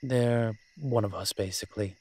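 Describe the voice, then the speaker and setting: A man's voice: fairly low pitch, normal speed, darkish tone, a little hiss or hum, natural voice. A man playing a roguish incubus demon in a roleplay audio drama, talking in a sly, teasing, conversational way. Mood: wry, explaining. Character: smooth-talking roguish male incubus demon, playful and scheming.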